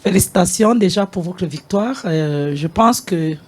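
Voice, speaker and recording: A man with a gravelly, husky voice asking a question as a television journalist at a press conference, restarting his phrases. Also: high-pitched, expressive, a little slow, darkish tone.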